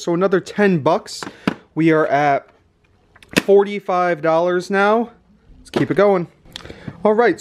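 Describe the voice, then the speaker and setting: A man doing casual vlog commentary as a reseller, thinking aloud as he goes. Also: on the high side. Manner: thinks aloud while tallying numbers. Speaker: reseller host of a thrifting channel. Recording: clear background noise, clicks.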